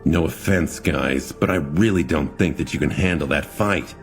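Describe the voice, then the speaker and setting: A man's voice: rumbling low, normal speed, faint music behind. A man voicing a wary young man with a dry, sarcastic way of talking. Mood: skeptical.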